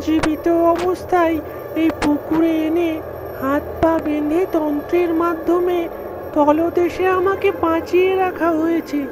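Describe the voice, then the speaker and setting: A drowned-pond spirit, a little boy held captive. The voice is extremely high and plaintive, pleading sorrowfully in animated-story style, with clear music playing behind it. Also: measured pace, rough recording. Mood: anguished pleading. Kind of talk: animated horror story acting.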